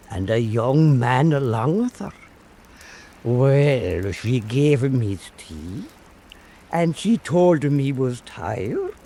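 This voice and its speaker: A man playing an old Irish island storyteller, telling an eerie fireside tale in a deliberate, theatrical way for radio drama. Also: mid-range voice, dark tone, a little hiss or hum.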